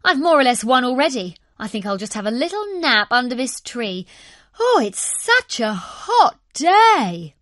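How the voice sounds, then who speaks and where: Casting: boastful storybook hare, played by a woman, high-pitched, excitable, cocky and full of energy. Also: a little slow, no room sound, clean studio sound, heavily acted. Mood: smug. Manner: excitable, bragging.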